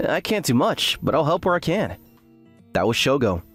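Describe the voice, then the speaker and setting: A man's voice: on the high side, big pitch swings, normal speed, slightly rough, soft background music. A man playing an earnest, self-deprecating graduate student who narrates his story in an anime-style dub. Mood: annoyed. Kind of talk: first-person scripted narration with acted dialogue.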